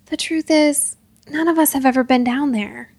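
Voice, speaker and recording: Lady doing a dead uncle ghost, kinda high voice, shifty n sheepish, storybook. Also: moderate pace, darkish tone, dry recording. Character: shifty, half-hearted dead uncle, a decayed male ghost.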